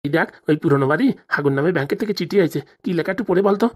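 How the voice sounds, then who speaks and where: A woman's voice: low voice, racing, breathy edge. A woman playing a scheming, impatient moneylender father in a comic cartoon, blustering and insistent. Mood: coaxing, impatient.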